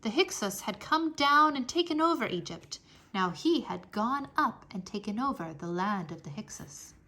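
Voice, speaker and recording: A woman reading a history book aloud for children in an expressive, deliberate storytelling manner. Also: a little high. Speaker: read-aloud narrator.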